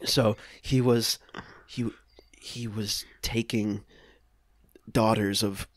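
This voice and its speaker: A man in his twenties doing improvised comedy banter, pausing as he makes things up. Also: fairly low pitch, fairly even intonation, dry recording. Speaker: improv podcast performer. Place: room at home, indoors.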